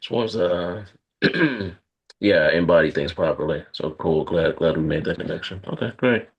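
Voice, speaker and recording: A man's voice: deep voice, moderate pace, husky, lo-fi sound. A man who is an actor, chatting casually in a Q&A after a script reading. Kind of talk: casual Q&A comment.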